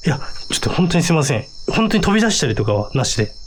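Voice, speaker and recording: Jittery man with very animated pitch. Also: rapid-fire. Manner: easily frightened, jittery, pleading politely with spirits. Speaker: member of a ghost-hunting video duo. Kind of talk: casual on-location ghost-hunting chat. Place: outdoors at night. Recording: noisy outdoor recording with insects. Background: crickets and insect noise.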